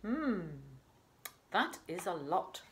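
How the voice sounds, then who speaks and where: Woman voicing an eager little storybook hare, lively pitch. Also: very slow, a little hiss or hum, heavily acted. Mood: thoughtful, wistful. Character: eager, affectionate young hare, small and competitive.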